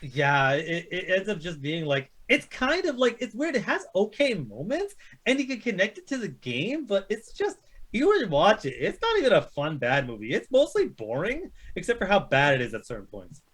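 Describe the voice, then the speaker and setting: A man's voice: sits high, huge pitch swings, moderate pace, fairly bright tone. A man co-hosting a movie discussion, giving blunt, emphatic, sweary opinions in casual chat. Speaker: co-host of a movie discussion show.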